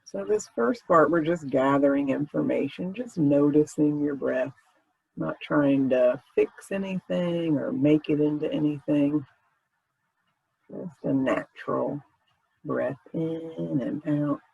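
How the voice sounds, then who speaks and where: A man leading a guided meditation in a gentle, informal, conversational way with unhurried phrasing. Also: fairly high pitch, vocal fry, slightly rough, no room sound, phone-quality sound.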